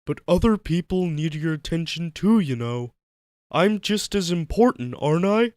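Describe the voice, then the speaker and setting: A man's voice: a little high, animated, normal speed, a little mellow, clear, resonant tone, dry recording, studio quality, pitched above the performer's own voice, natural voice. Cartoon starfish best friend played by a man: exasperated but caring, plaintive, voice pushed upward. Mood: exasperated, needy. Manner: plaintive, reproachful. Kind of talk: dramatic fan fiction reading.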